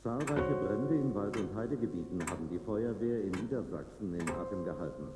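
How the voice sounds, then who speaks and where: A man's voice: fairly low pitch, expressive, a little fast, loud music behind the voice, close to an everyday voice. A man reading a formal radio news bulletin. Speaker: newsreader. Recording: echoey, very noisy with ticking.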